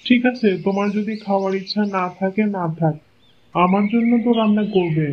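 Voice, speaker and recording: A man doing a gentle old father-in-law. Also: high voice, brisk, a little hiss or hum, close to an everyday voice. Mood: teasing. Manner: coaxing, fatherly. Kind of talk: cartoon dubbing. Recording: muffled lo-fi audio.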